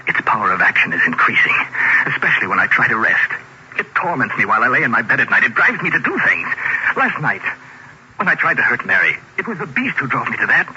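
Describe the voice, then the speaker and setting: A man's voice: medium pitch, sing-song, fast, close to an everyday voice. An actor playing a tormented, frightened man driven toward madness, in dramatic old-time radio horror style. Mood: anguished, confessing. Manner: dramatic, anguished, haunted. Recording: noisy, dull old radio recording.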